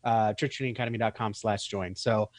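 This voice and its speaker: A man's voice: lowish voice, steady tone, fast talker, dry recording. A man co-hosting a casual ministry chat, easygoing and joking, often repeating words as he goes. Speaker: co-host, training academy founder. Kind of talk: casual conversational podcast.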